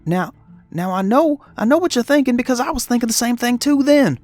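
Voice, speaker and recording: A man's voice: very high-pitched, expressive, fairly quick, darkish tone, no echo, soft background music. A man voicing a guilt-ridden trucker who stammers and pleads through a horror story confession. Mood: agitated, haunted. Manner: stammering, repeating words, pleading. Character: distraught guilt-ridden male trucker confessing a fatal accident. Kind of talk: dramatic horror story monologue.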